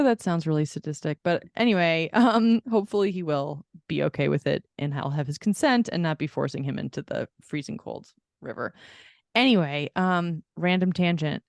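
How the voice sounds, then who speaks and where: A woman's voice: lowish voice, a little mellow, no echo, studio quality. A woman co-hosting a podcast in casual chat with a friend, gushing and affirming, rambling in a giggly, self-deprecating way. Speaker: podcast co-host.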